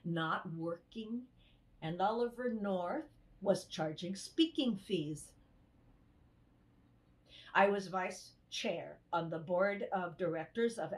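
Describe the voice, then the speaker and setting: An older woman playing an earnest survivor and human rights activist in a dramatized testimony, speaking expressively in halting phrases. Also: lowish voice. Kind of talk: dramatized first-person testimony monologue. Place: indoors in a home room, on a video call.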